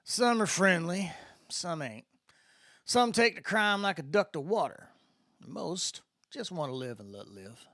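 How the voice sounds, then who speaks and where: A man's voice: sits high, lively intonation, measured pace, sharp tone, dry recording, clean studio sound, voice pushed up, exaggerated voice. A man voicing an easygoing good old boy from Mississippi, a spacefaring mentor with a folksy Southern drawl, in a science fiction audiobook. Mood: folksy, explaining.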